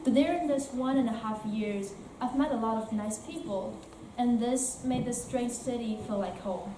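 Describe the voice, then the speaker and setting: A woman's voice: a little high, normal speed, lightly acted. A woman playing a newcomer from Shanghai who earnestly compares food and football in an amateur stage performance. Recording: echoey room from far off, faint noise.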